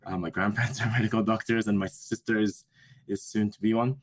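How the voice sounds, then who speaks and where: A man's voice: lowish voice, little pitch movement, a little fast, slightly rough. A young man whose first language is Japanese, answering interview questions in a thoughtful, hesitant way that often corrects itself. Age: young adult. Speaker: interview guest, business school student.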